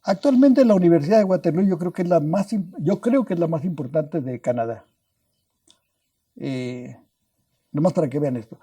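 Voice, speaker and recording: An older man with a Mexican accent tells stories as a guest on an interview podcast. His voice sits a bit high but sounds deeply mellow and dark. He rambles, repeats himself, and tags his sentences with little questions. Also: lively intonation, moderate pace, pauses often. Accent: Mexican Spanish. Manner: rambling, restarts words, adds tag questions. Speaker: guest scientist. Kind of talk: anecdotal storytelling in a podcast interview.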